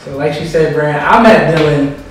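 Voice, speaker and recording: Laid-back male podcast host, fairly high voice, roomy and off-mic. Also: moderate pace, recorded from a distance, a little hiss or hum. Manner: relaxed and encouraging, slangy. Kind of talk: casual interview.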